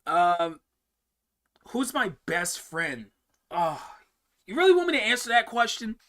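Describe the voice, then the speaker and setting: A man's voice: high-pitched, sing-song, measured pace, bright, forceful tone. A young man hosting a casual live-stream Q&A, answering viewer questions in a rambling way, often repeating words and restarting sentences.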